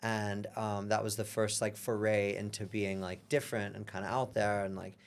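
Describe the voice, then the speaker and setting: A man's voice: on the low side, flat delivery, moderate pace, slight background noise. A young man born in London, a guest talking casually in an interview, rambling with many fillers. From born in London, grew up in Europe. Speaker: guest, singer and artist.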